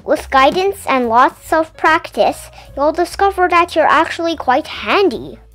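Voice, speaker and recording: A woman hosting her own channel, reading a children's picture book aloud with gentle, clear enunciation and playful emphasis. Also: high-pitched, lively intonation, measured pace, faint music behind. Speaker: storybook reader and channel host.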